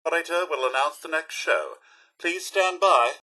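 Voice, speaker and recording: A man's voice: on the high side, normal speed, pushed and bright. A man voicing a courteous, chipper robot butler in a video game, with a British accent and a formal, theatrical manner. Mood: announcing. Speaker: robot servant and tour guide. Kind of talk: video game character voice lines.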